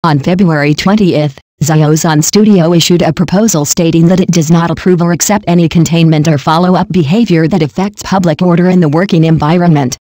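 A synthetic-sounding narrator reading a celebrity news script in an even, mechanical way. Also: mid-range voice, a little fast, few pauses, dry recording.

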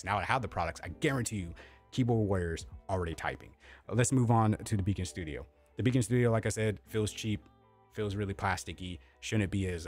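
Deep-voiced man ranting about gear. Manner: blunt, repetitive, defensive, swears casually. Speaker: tech content creator. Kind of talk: opinionated product review rant. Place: home streaming room with LED lights. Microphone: studio mic on boom arm, close.

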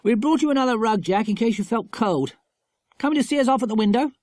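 A man's voice: very high-pitched, fast talker, a little mellow, no room sound, clean studio sound, pitched above the performer's own voice. A man with an English accent voicing a cheerful, practical schoolboy chum in a storybook.

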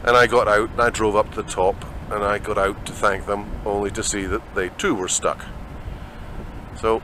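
Scottish male vlogger, lowish bright voice, chatty, faint outdoor hiss on a camera mic. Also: normal speed, poor audio. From Scotland. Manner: chatty, rambling. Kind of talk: walking travel vlog narration. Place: outdoors in open desert.

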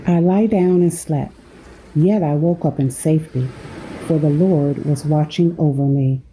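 Low, slow, mellow woman guiding sleep meditation. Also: slight background noise, rough recording. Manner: unhurried, measured delivery with pauses between phrases. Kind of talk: guided sleep meditation with scripture reading.

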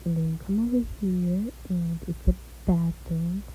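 A woman's voice: lowish voice, measured pace, mellow, low-quality recording. A young woman gives a laid-back, chatty tour of her house in a game, often trailing off with "so yeah.". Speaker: gaming vlogger. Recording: faint hiss.

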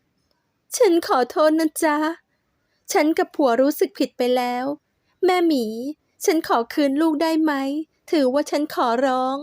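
A woman's voice: unhurried, darkish tone, no room sound, studio quality. A woman voicing a young mother who pleads anxiously to get her child back. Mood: pleading. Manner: high pleading tone.

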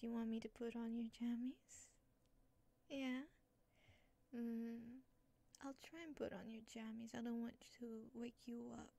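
A woman speaking in soft, breathy whispers in a soothing ASMR roleplay as a comforting motherly caretaker. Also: a little high. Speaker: ASMR creator.

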